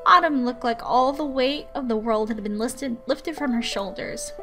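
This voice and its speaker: A woman reading a visual novel aloud as expressive first-person story narration. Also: a little high, normal speed. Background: music.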